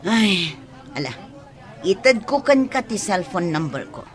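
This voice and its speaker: A woman's voice: mid-range voice, very animated, unhurried. A woman playing a stern, bossy, scheming village woman in an Ilocano radio drama, with an Ilocano accent. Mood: prying.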